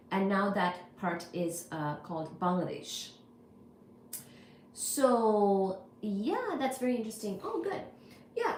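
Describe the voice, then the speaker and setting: A woman's voice: medium pitch, darkish tone, roomy sound, slight background noise, poor audio. A woman from India, hosting a casual livestream, talking through practical pointers with lots of "you know" and "kinda". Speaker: livestream host. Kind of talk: casual livestream chat answering viewers.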